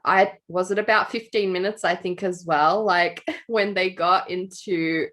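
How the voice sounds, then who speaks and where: A woman's voice: lowish voice, fairly even intonation, unhurried, a bit bright. A young adult woman, co-hosting a writing podcast in casual chat, laughing often and telling stories with animation. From Australia. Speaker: podcast co-host.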